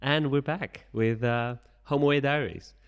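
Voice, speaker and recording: A man's voice: medium pitch, fairly even intonation, unhurried, smooth. A man hosting a podcast, counting in the episode and chatting casually with a guest. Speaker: podcast host. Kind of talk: casual chat. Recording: long reverb.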